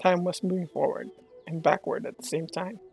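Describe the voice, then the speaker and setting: A man with a gravelly, husky voice giving casual reaction commentary as he plays a video game. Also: a little high, steady tone, moderate pace, a little mellow. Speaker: gaming streamer. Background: game music.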